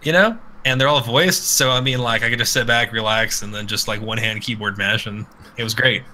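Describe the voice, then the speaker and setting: A man's voice: mid-range voice, steady tone, a little fast, bright, forceful tone, a little hiss or hum. A man co-hosting a podcast in casual, crude banter, rambling and irreverent, swearing freely. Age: adult with children. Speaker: podcast co-host.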